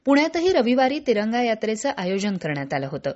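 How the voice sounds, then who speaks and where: A woman's voice: fairly high pitch, very animated, quick pace, darkish tone. A woman reading scripted news as a newsreader. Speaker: news reader. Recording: dull lo-fi radio audio.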